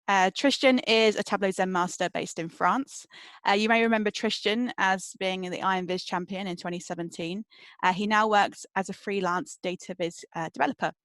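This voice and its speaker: A woman hosting and introducing an online community event over a video call, brisk and organized, with frequent fillers. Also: mid-range voice, fairly bright tone, no echo. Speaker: event host.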